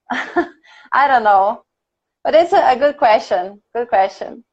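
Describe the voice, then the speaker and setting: A woman's voice: a little high, expressive, slow pace, muffled recording. A woman from Brazil with a Brazilian accent, whose first language is Portuguese, leading a casual English conversation class on a livestream. She talks in a chatty way, laughs often and thinks aloud with fillers. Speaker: English teacher.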